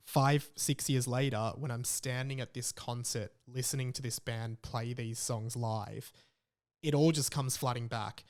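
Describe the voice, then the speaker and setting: A young Australian man speaks in a medium-pitched voice as he hosts a podcast on his own. His delivery is casual and candid, wandering through his thoughts with plenty of filler words like a chat with friends. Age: young adult. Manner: candid, rambling, peppered with "like". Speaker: podcast host. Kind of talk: casual solo podcast monologue.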